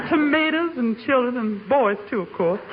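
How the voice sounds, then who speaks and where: A man's voice: extremely high pitch, exaggerated voice. A man playing a hearty, giggly housemaid with folksy, ungrammatical talk in a 1940s radio comedy. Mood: tickled. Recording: noisy muffled 1940s radio recording.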